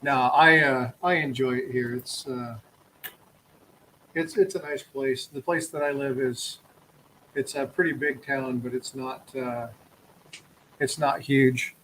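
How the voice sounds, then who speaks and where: A man's voice: medium pitch, steady tone, slow pace, pauses often, a bit bright, a little hiss or hum, rough recording. A man from Texas hosting a casual live stream, chatting in an easygoing, joking way with a guest. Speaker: coin roll hunting streamer.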